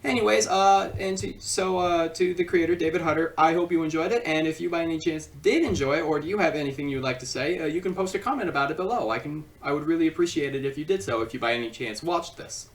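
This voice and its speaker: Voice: mid-range voice, quick pace, few pauses, far from the mic. A video review host speaking casually in a rambling way, often repeating and stumbling over phrases.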